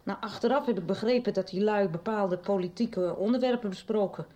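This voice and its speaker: A woman's voice: mid-range voice, brisk, dark tone, close to an everyday voice. An actress in a 1990s radio play voicing a middle-aged woman who chattily confides her past, with little hesitations. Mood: confiding. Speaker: radio drama character. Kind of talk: 1990s radio drama acting.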